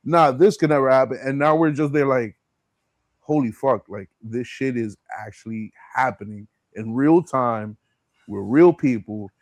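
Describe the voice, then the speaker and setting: A man hosts a casual interview in a medium-pitched voice. His wording is slangy and informal, with swearing mixed in. The sound is dry, free of room reflections. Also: measured pace, dry recording. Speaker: podcast host.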